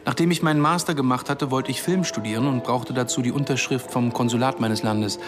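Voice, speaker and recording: An actor playing a dryly ironic man in his early forties, telling his story in a measured way in a German radio drama. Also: medium pitch, music in the background, lightly acted. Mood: matter-of-fact. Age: 40s.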